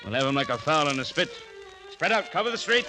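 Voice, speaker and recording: An actor playing a brash, jeering patrol leader who barks commands in 1940s radio drama style. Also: male voice, fairly high pitch, sing-song, fast talker, over music. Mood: barking orders. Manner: barking, commanding. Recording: vintage radio drama recording.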